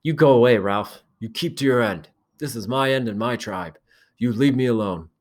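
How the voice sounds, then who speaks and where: A man voicing an aggressive, taunting boy leader who shouts. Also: mid-range voice, big pitch swings, normal speed, breathy edge, pitched above the performer's own voice. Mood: aggressive shouting.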